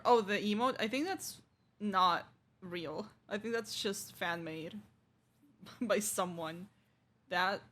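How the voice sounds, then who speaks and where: A woman's voice: mid-range voice, fairly bright tone. A woman livestreaming a game, giving casual, chatty commentary in an offhand manner. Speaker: game streamer.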